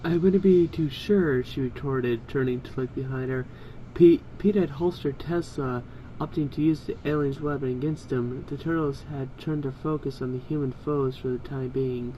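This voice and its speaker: A man's voice: medium pitch, normal speed, highs cut off, a little hiss or hum. A man reading a story aloud in an amateur style, with casual asides. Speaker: co-reader of fan fiction.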